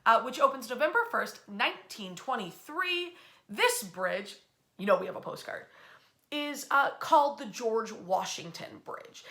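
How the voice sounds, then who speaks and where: A woman presenting local history as an animated storyteller, in a conversational, emphatic and folksy way. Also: on the high side.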